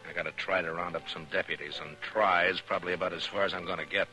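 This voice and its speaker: An actor with an American accent playing a stern, weary Old West marshal in a 1950s radio western, firm and plain-spoken. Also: male voice, deep voice, level tone, fast, bright, forceful tone, soft background music. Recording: noisy old radio recording.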